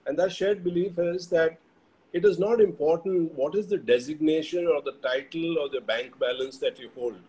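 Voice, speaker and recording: Higher-pitched Indian-accented man, reflective storyteller. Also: normal speed, warm tone, poor audio. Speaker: interview guest, HR leader. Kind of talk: conversational personal storytelling.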